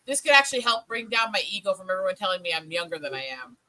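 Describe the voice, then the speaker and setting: A woman's voice: mid-range voice, big pitch swings, quick pace, pushed and bright, no room sound, low-quality recording. A woman in her forties chatting casually in a group, snarky and sarcastic, talking at length about herself. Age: 40s. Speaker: participant in an online group chat. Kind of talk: casual chat.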